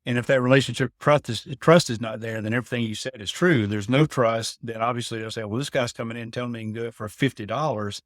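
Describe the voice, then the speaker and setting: A man's voice: medium pitch, steady tone, fast, few pauses, no room sound, studio quality. A middle-aged man, a veteran of his trade, explaining things in a casual conversation, often repeating words and asking "you know what I mean?". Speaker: guest, building automation integrator veteran.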